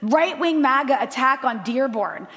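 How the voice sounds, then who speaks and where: A woman's voice: high voice, lively intonation, measured pace, a bit bright. Impassioned woman, reverberant room. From United States. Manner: passionate, blunt, with frequent "um" fillers. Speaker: panelist journalist. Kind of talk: impassioned panel talk. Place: live panel in a room with an audience.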